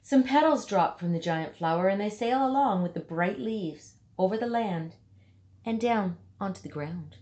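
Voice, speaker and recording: A woman reading a children's picture book aloud, with dramatic emphasis and deliberate pauses for young listeners. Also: medium pitch, animated, darkish tone, slight background noise. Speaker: storybook reader.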